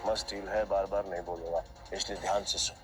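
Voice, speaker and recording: A man's voice: deep voice, level tone, fast talker, music in the background, lightly acted. An actor playing an arrogant, mocking male casino gambler in a Hindi audio drama, taunting and swaggering. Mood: smug. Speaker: villain gambler Anthony.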